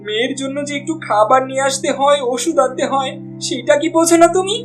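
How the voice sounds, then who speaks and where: High, nagging village wife acted by a woman. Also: fast, lightly acted. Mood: angry, nagging. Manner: nagging, sharp-tongued. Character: scolding, fed-up wife of a poor fisherman. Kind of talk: cartoon voice acting. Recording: background music under the voice.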